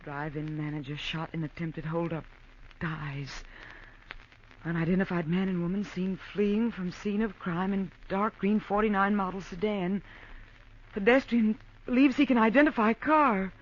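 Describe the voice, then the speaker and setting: A woman's voice: mid-range voice, fairly even intonation, moderate pace, natural voice. An actress playing a frightened, earnest young woman caught up with a gunman in an old-time radio melodrama. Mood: subdued dread. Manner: earnest, emotional, dramatic. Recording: dull, noisy vintage radio recording.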